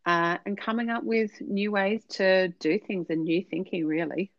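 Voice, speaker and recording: A woman's voice: mid-range voice, normal speed, highs cut off. A woman speaking as a podcast guest, earnest and explanatory, with frequent fillers. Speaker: podcast guest, business consultant. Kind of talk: conversational interview answers.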